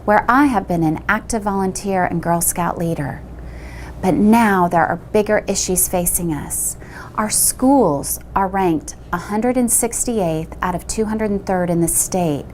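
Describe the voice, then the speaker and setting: A woman's voice: mid-range voice, darkish tone, a little hiss or hum. A woman running for city council, giving a firm and urgent campaign statement.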